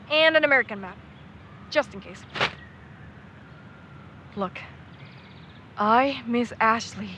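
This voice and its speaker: A woman playing a warm, playful, sentimental young man in a naturalistic audio drama. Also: a little high, a little hiss or hum. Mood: upbeat.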